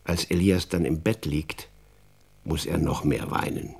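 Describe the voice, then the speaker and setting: A man narrating a children's radio drama as an expressive, wry storyteller. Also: rumbling low, a little slow, gravelly, slightly rough.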